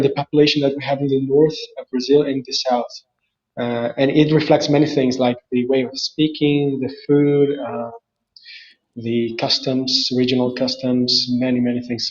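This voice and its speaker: A man's voice: mid-range voice, darkish tone, highs cut off. A man from Paraguay with a Paraguayan Spanish accent, speaking English as a guest in a casual interview, hesitant and restarting often. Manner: hesitant, many restarts and fillers. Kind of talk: casual video call interview.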